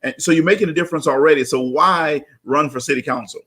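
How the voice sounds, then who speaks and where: A man's voice: a little high, fast, pushed and bright, slightly rough. A man hosting a conversational interview over a video call, often affirming his guest and repeating words. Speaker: talk show host, interviewer.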